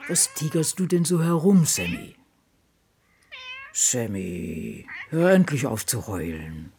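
A woman's voice: sits low, huge pitch swings, takes it slowly, creaky, background noise, heavily acted. An actress playing a dour novelist in her sixties, musing in a dry, brooding way in a radio play. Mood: fond scolding. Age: 60s. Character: dour older woman novelist musing over her life. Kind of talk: radio play monologue, diary reading.

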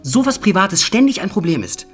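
An actor playing a young adult son in a radio drama, speaking in a casual, earnest and reflective way. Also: male voice, high voice, big pitch swings, brisk, faint music behind. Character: candid, thoughtful grown son of the inspector. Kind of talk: naturalistic German radio drama acting.

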